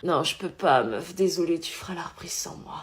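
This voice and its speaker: A woman's voice: fairly low pitch, expressive, a little fast. A woman in a comedy sketch, speaking in a casual, offhand way. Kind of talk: comedy phone-call sketch.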